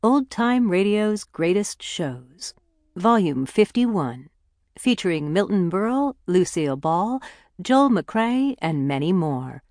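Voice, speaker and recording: A woman announces audiobook titles from a script at an unhurried pace. Her voice is slightly low with lively intonation, and the sound is dry. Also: no room sound.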